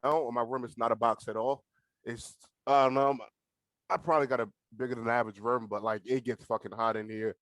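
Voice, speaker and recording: A man's voice: mid-range voice, steady tone, brisk, fairly bright tone, no echo, soft background music. An eighteen-year-old man with an American accent, chatting casually as a podcast guest in a laid-back, slangy, sweary way. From United States. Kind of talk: casual chat. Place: bedroom at home.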